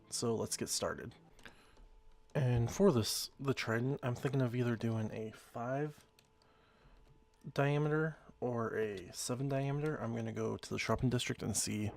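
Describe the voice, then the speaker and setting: A man with a slightly hoarse voice from being sick, casually talking through his gameplay in a rambling, easygoing way. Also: medium pitch, a little mellow. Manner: rambling, easygoing, self-correcting. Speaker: let's play host.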